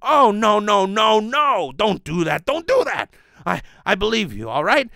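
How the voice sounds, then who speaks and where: Guy acting panicky dim working joe, high jumpy slangy voice, radio play. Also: very animated, measured pace, vocal fry, pushed and bright, dry recording, studio quality, full character voice. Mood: frightened. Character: jumpy, dim working-class ambulance man. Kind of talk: old radio serial acting.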